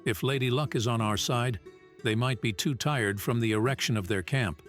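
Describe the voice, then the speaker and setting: An actor playing a wary, formal professor who leads an expedition, speaking in a measured way in an audio drama. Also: male voice, medium pitch, a bit bright, faint music behind, natural voice.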